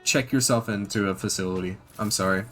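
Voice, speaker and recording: A man giving casual reaction commentary, halting with ums and restarts, blunt and profane. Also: lowish voice, fairly bright tone, soft background music. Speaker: reaction channel host.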